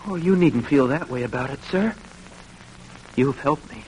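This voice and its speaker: An actor playing a brave, resolute young condemned soldier, earnest and polite, in old-time radio drama style. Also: male voice, medium pitch, expressive, normal speed, darkish tone, natural voice. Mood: quiet, steadfast. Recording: noisy vintage radio drama recording.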